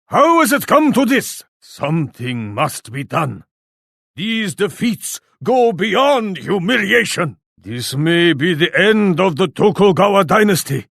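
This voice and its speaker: Proud grim warlord, Japanese ruler, slow declamatory delivery, highly animated pitch, video game voice. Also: male voice, gravelly, no echo, clean studio sound, heavily acted. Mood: despairing, solemn. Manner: solemn, declamatory, speaks of himself in third person. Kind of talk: theatrical video game voice lines.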